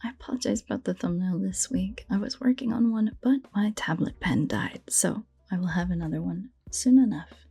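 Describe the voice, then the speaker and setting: A woman's voice: mid-range voice, normal speed, few pauses, warm tone, husky, faint music behind. A woman audio performer speaking casually as herself in an outro, thanking her listeners and supporters.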